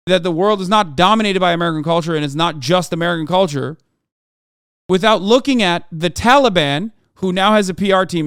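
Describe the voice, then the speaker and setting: Streamer man, 30s, from Turkey, lectures his chat in a swearing rant; higher-pitched, resonant, dry. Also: a bit bright, smooth, dry recording. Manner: heated, profane, lecturing rant with emphatic repetition. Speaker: streamer reacting to videos. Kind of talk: livestream reaction commentary.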